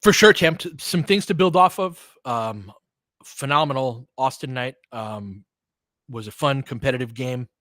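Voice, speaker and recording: A man with a medium-pitched voice hosts live basketball play-by-play. He talks in casual, slangy fan language, often in short clipped phrases. The sound is dry, with no room echo. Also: a bit bright. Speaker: play-by-play host of a fan stream.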